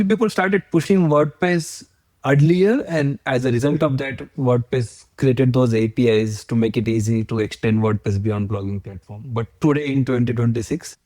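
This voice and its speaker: A man in his forties with an Indian accent talks with a mellow, mid-pitched voice. He sounds earnest and unhurried and builds his ideas patiently through examples. The sound is close and dry, with no room echo. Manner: earnest and explanatory, building points step by step with examples. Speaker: agency founder, podcast guest. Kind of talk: podcast interview.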